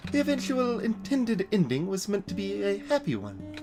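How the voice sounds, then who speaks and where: A man voicing a small, gentle robot fox from a video game, wistful and knowing, in soft, measured explanations. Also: sits high, huge pitch swings, full character voice. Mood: grave, explaining. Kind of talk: video game character dialogue read aloud. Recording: music behind the voice.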